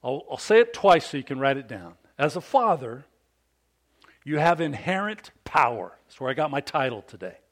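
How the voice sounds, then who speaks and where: Animated preacher man with a big voice. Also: medium pitch, moderate pace. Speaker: pastor. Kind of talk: sermon.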